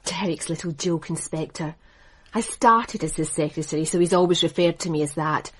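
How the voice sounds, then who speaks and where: A woman's voice: low voice, steady tone, normal speed. An actress playing a poised woman who runs a racing business, speaking in a composed, dry way in a radio drama. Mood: cool. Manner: composed, dry, crisply assured. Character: poised woman racing executive, cool and cutting.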